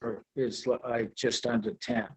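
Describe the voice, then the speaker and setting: A man presenting at a virtual conference and answering questions, halting with many fillers. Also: medium pitch, flat delivery, husky. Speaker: presenter, product seller. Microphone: video call.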